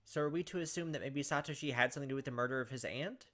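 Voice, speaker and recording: A man's voice: mid-range voice, rapid-fire, fairly bright tone. A young man doing casual game commentary and reading story narration aloud. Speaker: gaming video host.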